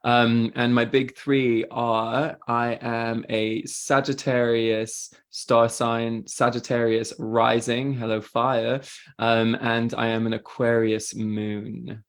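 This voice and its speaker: A man's voice: medium pitch, little pitch movement, takes it slowly, clear, resonant tone. A man with a British accent, a guest talking openly and easily in an interview. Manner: chatty, open, laughs easily. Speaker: guest, spiritual mentor. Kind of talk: casual interview chat.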